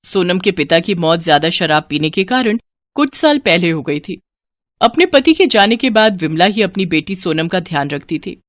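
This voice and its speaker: A woman's voice: fairly low pitch, lively intonation, normal speed, no room sound. A woman storyteller reading scripted narration for a cartoon moral tale.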